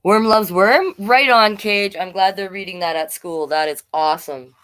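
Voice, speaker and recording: A woman's voice: medium pitch, moderate pace, a bit bright. A woman hosting a children's livestream storytime as a drag king, chatting directly to viewers between pages.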